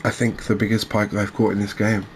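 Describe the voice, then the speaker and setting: A man giving gentle, unhurried ASMR commentary over a cozy fishing game, with hesitant, understated phrasing. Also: on the low side, little pitch movement, slight background noise. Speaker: host, video game streamer.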